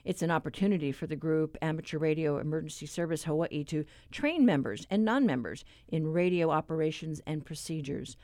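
A woman's voice: low voice, fairly quick, hardly any pauses, dark tone, no echo. Woman radio host with dry studio sound. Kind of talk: scripted radio introduction.

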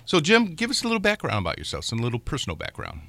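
A man's voice: fairly high pitch, wildly swooping pitch, very fast, sharp tone. A man in his fifties who hosts a radio food show as a chef, chatting casually and joking with guests in a studio. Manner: chatty, joking, repeats the call-in number. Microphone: studio microphone.

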